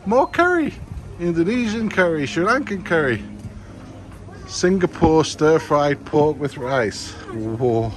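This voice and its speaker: Slow-talking enthusiastic man on a food tour. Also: a little high, sing-song. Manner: enthusiastic, exclaiming. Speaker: vlogger touring a food court. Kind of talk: walking food tour narration. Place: outdoor food court. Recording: noisy outdoor street recording.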